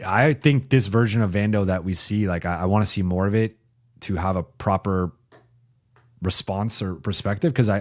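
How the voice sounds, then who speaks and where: A man with a fairly low voice that gets a bit raspy, hosting a basketball stream from a home mic. He talks loosely and conversationally, restarting sentences as he thinks and reading out viewer comments between points. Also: a little mellow. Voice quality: raspy when talking for a while. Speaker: sports reporter and channel host. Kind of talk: casual live-chat basketball analysis.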